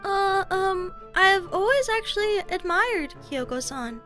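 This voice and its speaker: Woman doing shy polite middle school anime girl, really high bright voice, earnest, kinda hesitant. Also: animated, slow, faint music behind, heavily acted. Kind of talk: anime-style manga dub dialogue.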